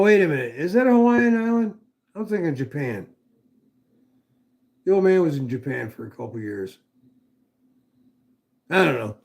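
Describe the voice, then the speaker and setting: A man's voice: fairly high pitch, vocal fry, darkish tone. An older man hosting a casual livestream, rambling, folksy and profane as he reads comments. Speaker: livestream host.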